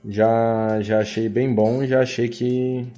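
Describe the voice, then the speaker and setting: A man's voice: medium pitch, little pitch movement, slow, mellow, soft background music. A Brazilian man chatting casually as a podcast guest, joking and often repeating words as he finds his way. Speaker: podcast guest, audio drama producer. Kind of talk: casual podcast chat with slang.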